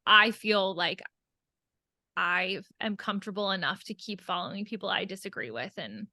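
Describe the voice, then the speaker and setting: A woman with a bright, crisp-edged voice chats on a podcast. Her delivery is conversational and candid, with plenty of "like" along the way. The sound is dry and close, with very little room. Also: medium pitch, normal speed, no echo. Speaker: podcast co-host. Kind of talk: casual podcast chat.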